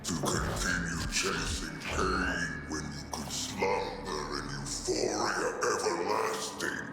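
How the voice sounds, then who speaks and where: Domineering villain emperor, gravelly and very deep; slow honeyed menace for a video game. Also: male voice, heavily acted. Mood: seductive, grandiose. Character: grandiose tempting male emperor villain. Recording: booming echo over loud music.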